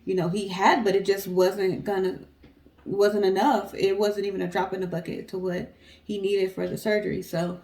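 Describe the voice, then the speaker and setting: A woman's voice: mid-range voice, flat delivery, normal speed, darkish tone. A woman with an American accent co-hosting a storytelling show, talking casually to viewers and recounting stories. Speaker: co-host of a storytelling guessing show.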